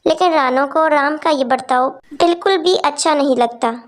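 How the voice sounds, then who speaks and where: A woman with a high voice tells a cartoon story. Her tone stays plain and steady, a storybook narrator. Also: moderate pace.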